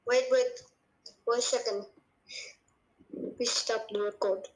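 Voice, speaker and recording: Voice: medium pitch, fairly even intonation, slow pace. A child co-hosting a casual guessing game, chatting informally in an echoey room. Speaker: podcast co-host.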